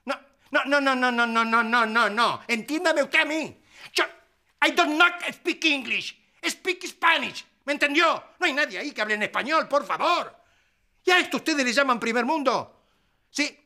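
Brief: imperious older Argentine company boss and father, husky, swooping delivery, TV melodrama exasperation. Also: sits high, big pitch swings, brisk, bright, forceful tone, heavily acted. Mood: exasperated. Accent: Argentine Rioplatense. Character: irascible, overbearing older businessman and father. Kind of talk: TV melodrama acting.

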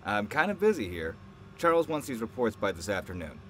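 A man acts out a dismissive, secretive scientist in an audio drama. His delivery is offhand but lively in pitch. Clear background noise surrounds him. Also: a little fast. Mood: irritated. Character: dismissive, smooth-talking male scientist, secretive lover. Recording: noisy background ambience.

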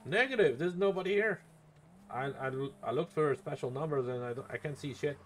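A man's voice: on the high side, a little fast, slight background noise. A man streaming a roleplay game as a helicopter paramedic, chatting casually in blunt, sweary radio talk with codes.

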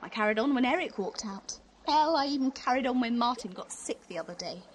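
A woman's voice: a little high, animated, fairly quick, slight background noise, lightly acted. A woman playing a strained, exasperated mother in an audio drama, blunt and emotional. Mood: weary.

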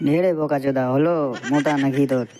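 A man's voice: medium pitch, fairly even intonation, quick pace, mellow, slight background noise, natural voice. A man voicing a generous but wary young male buddy in a crude comedy cartoon, blustery and slangy, often repeating himself. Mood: alarmed, scolding.

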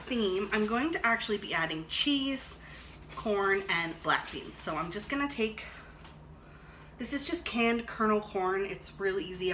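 A woman in her thirties chatting casually and thinking aloud as she cooks at home, recorded on a camera an arm's length away. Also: on the high side. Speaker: vlog host cooking and reviewing a product. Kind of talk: casual chat while demonstrating cooking. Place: home kitchen, indoors. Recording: clattering dishes and clicks, noisy room.

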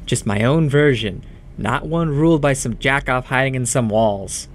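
A man's voice: medium pitch, normal speed, slight background noise, close to an everyday voice. An actor playing a cocky young male troublemaker who needles his friend in a mocking drawl for a horror audio drama. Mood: smug, taunting.

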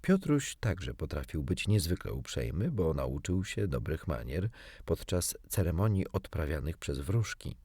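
A man narrating a children's story as an audiobook reader, in an expressive, theatrical way. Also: very deep voice, measured pace, slightly rough.

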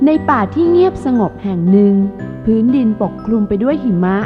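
A woman's voice: a little high, expressive, fairly quick, narrow, tinny audio. Thin-sounding woman storyteller over music. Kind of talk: scripted children's story narration.

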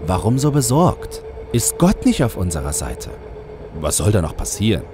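A man's voice: on the low side, sing-song, normal speed, noisy background, pitched below the performer's own voice. A man voicing a laid-back, sarcastic hitman with an offhand, slangy manner in an audio play. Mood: nonchalant.

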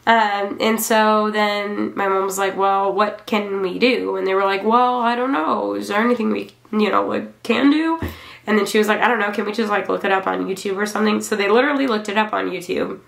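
Young woman chatting casually with creaky voice. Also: medium pitch, steady tone, a little fast, a bit bright. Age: 20s. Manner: rambling, offhand, conversational. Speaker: vlogger reviewing own wedding. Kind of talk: casual storytelling to camera. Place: small furnished room at home. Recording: roomy indoor echo.

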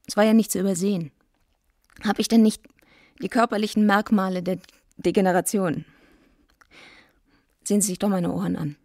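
An actress playing a tormented, despairing young woman in a radio drama, speaking in a halting, brooding way. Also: mid-range voice, steady tone, darkish tone, no room sound, clean studio sound, lightly acted. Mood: anguished. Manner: halting, brooding, self-loathing.

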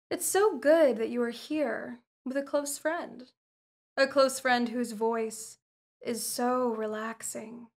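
A woman voicing a calm, manipulative female hypnotist who lulls a captured spy with soothing, repetitive words in an ASMR roleplay. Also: a little high, gravelly.

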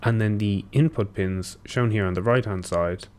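Young man calmly explaining technical setup. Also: on the low side, moderate pace, smooth. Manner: steady explanatory pace with occasional um and self-corrections. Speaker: engineer presenting a tutorial. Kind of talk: technical walkthrough over screen share. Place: small indoor room.

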